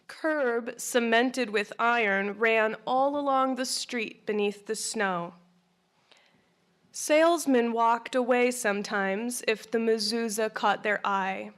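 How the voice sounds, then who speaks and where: Slow, measured woman reading poetry. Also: fairly high pitch, a bit bright. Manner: measured, deliberate. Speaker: poet.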